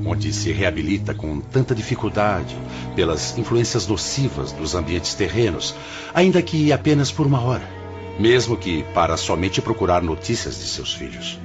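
A wise, humble older male spirit with a very deep voice and a Brazilian accent. His solemn, formal counsel rises and falls expressively and patiently, as in a religious radio drama. Music plays clearly behind him. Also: sing-song, normal speed, highs cut off. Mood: gently firm. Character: serene, humble older male spirit, director of afterlife hospital.

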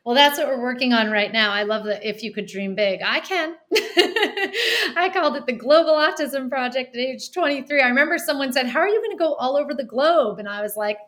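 Lively laughing woman, podcast guest. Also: high voice, a little fast. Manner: animated, laughs often, acts out quotes. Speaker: guest, nonprofit founder. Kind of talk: podcast interview.

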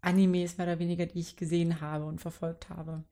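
Low-voiced young woman, chatty. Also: level tone, fairly quick, mellow. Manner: chatty, filler-heavy. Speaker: podcast co-host. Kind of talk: casual chat.

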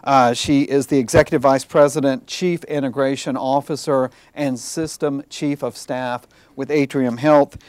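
A man hosting a university lecture series, giving the introduction and interviewing the guest with relaxed pauses and many "uh"s. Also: mid-range voice, no room sound. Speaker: university host and moderator. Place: university lecture hall with audience.

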